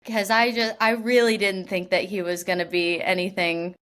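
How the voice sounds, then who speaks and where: A woman's voice: medium pitch, little pitch movement, moderate pace, a bit bright, a little hiss or hum. A young woman from Washington state, an actress being interviewed, talking casually with lots of "like" fillers and restarts. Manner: chatty, laughing, many "like" fillers and restarts.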